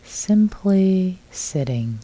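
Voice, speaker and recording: A woman teaching meditation in a calm, gentle, soft-spoken way, guiding listeners with long pauses between short phrases. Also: lowish voice, low-quality recording.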